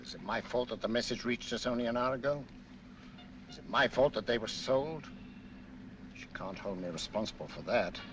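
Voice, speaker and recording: An actor playing a smooth, scheming colonel in a 1940s film, speaking in a suave, hesitant way. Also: male voice, mid-range voice, normal speed, background noise, lightly acted. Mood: defensive. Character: smooth, scheming middle-aged male colonel. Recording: dull lo-fi old film soundtrack.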